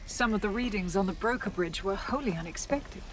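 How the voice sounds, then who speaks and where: An actress playing a commanding research official in a video game, formal, measured and authoritative. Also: female voice, medium pitch, lightly acted, low-quality recording. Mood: cool, businesslike. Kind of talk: video game cutscene acting.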